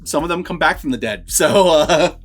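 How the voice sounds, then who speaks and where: A man with a somewhat high voice hosts a review vlog. He chats casually and rambles along in a conversational way. Faint music plays behind him. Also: lively intonation, moderate pace. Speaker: host of a horror movie review vlog.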